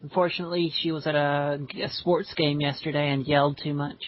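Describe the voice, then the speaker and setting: A woman's voice: sits low, fairly even intonation, fairly quick, no echo. Woman late 20s rambling chatty commentary, dry dull sound like a call. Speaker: commentary host. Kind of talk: casual group commentary. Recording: dull lo-fi online call audio.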